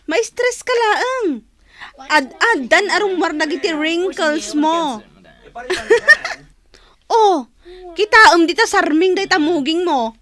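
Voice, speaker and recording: Chatty teasing ilocano girlfriend, radio comedy, really high lively voice, some english words. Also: slow, long pauses, heavily acted. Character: chatty, chiding female friend giving sassy advice.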